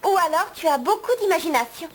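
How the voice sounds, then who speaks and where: A woman voicing a quick-witted, bossy little fairy girl in a French cartoon dub. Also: very high-pitched, expressive. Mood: wary, bossy. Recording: music behind the voice.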